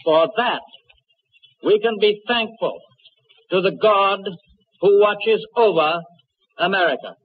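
A man's voice: high-pitched, slow pace, long pauses. A man making a solemn, declamatory public speech in 1930s style. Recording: tinny, noisy 1930s archive recording.

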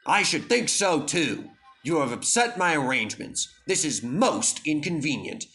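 Casting: man as disapproving storybook railway director, pompous and dry, deliberate pace, music behind. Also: fairly high pitch, big pitch swings, sharp tone, pitched above the performer's own voice, full character voice. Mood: annoyed. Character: stern, pompous male railway boss.

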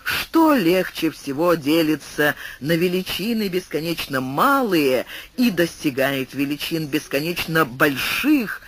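A man voicing a grand old male magus who asks a riddle slowly and ceremoniously. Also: a little high, a bit bright, slight background noise, heavily acted.

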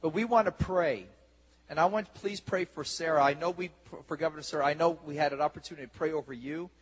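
A man hosting a church service on stage, rousing the congregation with exuberant, excited talk into a handheld mic. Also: fairly high pitch, fast talker, slight background noise. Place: large church sanctuary stage. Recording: lo-fi camcorder recording in a reverberant hall.

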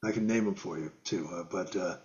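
An older man on a video call from his home music room, telling lively stories as an interview guest, acting out quotes and sound effects and laughing often. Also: low-pitched, a little fast, muffled recording. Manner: animated storyteller, acts out quotes and guitar sounds, laughs often. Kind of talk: storytelling in a casual interview. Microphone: video call webcam.

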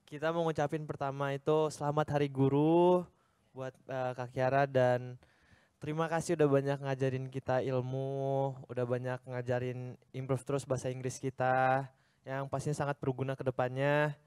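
A young man, one of the band members on the show, offers earnest thanks. His voice is smooth and resonant at a middle pitch. He speaks with small hesitations, and the sound is close and dry. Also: flat delivery, normal speed, a little mellow, no echo. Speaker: boy band member, guest. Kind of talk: casual chat.

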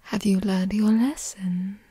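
Woman acting a slow, breathy whispering teasing girlfriend. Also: mid-range voice, steady tone, warm tone, no echo, studio quality. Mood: smug, playful.

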